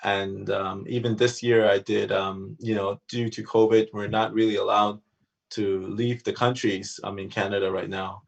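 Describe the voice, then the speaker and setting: A man speaking as a panel guest in a casual online talk, halting with fillers and restarts. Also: fairly low pitch, flat delivery, gravelly, far from the mic.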